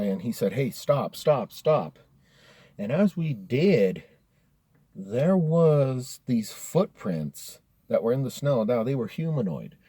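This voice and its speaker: A man's voice: mid-range voice, dark tone, no echo. A young American man telling a personal horror story casually, swearing freely and using slangy talk like dude and bro. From Colorado, United States. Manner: profane, slangy, addressing listeners as dude and bro. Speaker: storyteller, host of a story-time series.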